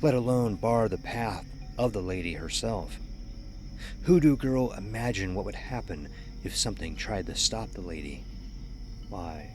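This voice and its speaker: A man narrating a scripted horror story in a measured, ominous, dramatic storytelling manner. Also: on the low side, expressive, a little mellow. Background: music under the voice.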